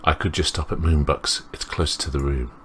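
Very deep-voiced man reading a game aloud. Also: fairly even intonation, a little fast, fairly bright tone, a little hiss or hum. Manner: rambling, self-interrupting. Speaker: let's play host. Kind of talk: reading a visual novel aloud with off-the-cuff commentary.

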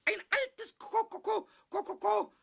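A man voicing a small childlike kobold in a children's radio play, cheeky and fearful, squeaky and stammering in excited bursts. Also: a little slow, gravelly, full character voice. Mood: frightened.

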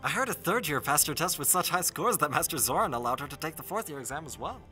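A man acts out a teenage boy archer in training for a fantasy audio drama. He is curious, talkative and deferential, with a very animated delivery. Music plays clearly behind him. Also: on the high side, fast talker. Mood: excited, chatty. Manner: deferential, chatty. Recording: music under the voice.

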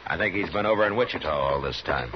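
Deep-voiced, laconic western marshal. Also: male voice, expressive, noisy background. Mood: dry, friendly. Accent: American. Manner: laconic, measured. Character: terse, world-weary male frontier marshal. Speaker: lead actor and narrator. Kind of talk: 1950s radio western acting and narration. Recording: muffled vintage radio, music behind.

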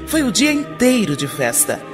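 A woman narrating a radio drama in a steady, informative way. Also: on the high side, moderate pace, music in the background.

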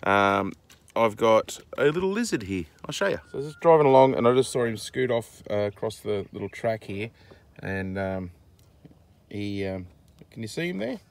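An Australian man in his forties talks casually to his phone outdoors. His voice sits fairly low and has a strong gravelly creak. He speaks informally and haltingly, as a farm vlogger. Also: very animated. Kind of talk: casual vlog narration. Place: outdoors on a dry rural farm. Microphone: handheld phone at arm's length.

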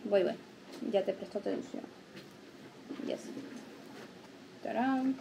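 A woman's voice: mid-range voice, slow, vocal fry, noisy background. Chatty Venezuelan woman vlogging. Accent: Venezuelan Spanish. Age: late 20s. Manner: chatty, playful, teasing. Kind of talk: casual vlog chat to camera. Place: shop aisles, then a small living room. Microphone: handheld camera at arm's length.

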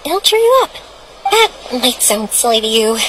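A woman's voice: fairly high pitch, very animated, normal speed, a bit bright, slight background noise, low-quality recording. A woman playing a cheerful, kind young woman in an anime-style video game, chatty, upbeat and encouraging.

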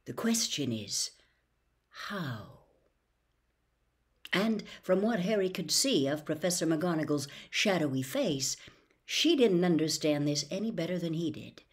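An older woman in her sixties reading a novel aloud in an expressive, theatrical storytelling style. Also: lowish voice, normal speed. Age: older, 60s. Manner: theatrical, acts out dialogue. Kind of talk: expressive audiobook-style narration. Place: small bedroom at home.

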